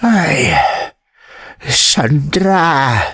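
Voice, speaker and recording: A gentle old Filipino grandfather voiced by a man in an audio drama. He draws out his words solemnly, with wide, expressive swings in pitch. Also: very slow, slightly rough, heavily acted. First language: Ilocano. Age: older. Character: elderly grandfather and father-in-law, solemn and gentle. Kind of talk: emotional audio drama acting.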